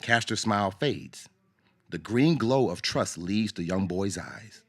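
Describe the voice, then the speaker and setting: A man narrating a scripted radio drama as a storyteller, in a measured, dramatic way. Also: on the low side, expressive, dry recording.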